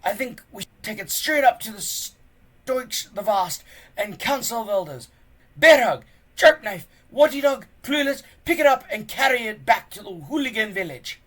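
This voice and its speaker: Someone reading a storybook aloud, voicing a loud, bossy Viking teacher who bellows drill orders. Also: medium pitch, lively intonation, moderate pace, pitched above the performer's own voice. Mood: excited, commanding. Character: Gobber, loud bossy Viking pirate-training teacher, grown man.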